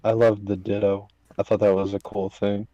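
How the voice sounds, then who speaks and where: A man's voice: on the low side, flat delivery, normal speed, lo-fi sound, over a noisy crowd. A man chatting casually and informally as a guest on a podcast about movies.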